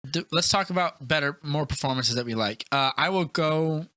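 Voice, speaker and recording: Bright, higher-voiced man chatting about movies. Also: moderate pace, dry recording. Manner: animated, opinionated, acts out quotes. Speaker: podcast co-host. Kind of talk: casual movie discussion.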